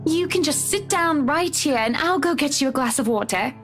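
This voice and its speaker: Very high-pitched, teasing wealthy wife. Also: female voice, animated, normal speed, a bit bright, soft background music. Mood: concerned. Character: Mrs Wright, chatty, teasing wealthy wife. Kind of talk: visual novel voice acting.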